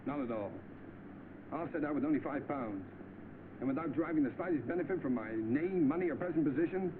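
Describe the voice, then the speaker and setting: Clipped English gentleman in a noisy old film, acted by a man. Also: on the high side, expressive, fairly quick, narrow, tinny audio, close to an everyday voice. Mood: defiant. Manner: polite, clipped, upper-class understatement. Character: rich, idle, determined gentleman in an old film. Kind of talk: vintage British film acting.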